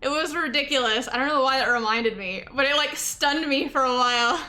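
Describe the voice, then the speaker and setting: Bright-voiced young woman streamer, slightly hoarse. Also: high-pitched, brisk. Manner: chatty, many filler likes, repeats thanks. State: a bit hoarse, sore throat. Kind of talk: casual chat while gaming.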